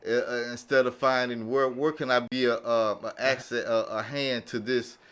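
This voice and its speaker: A man talking in a casual round-table podcast discussion, halting and repeating himself as he searches for words. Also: medium pitch, level tone, fairly bright tone, clear, resonant tone. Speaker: podcast panelist.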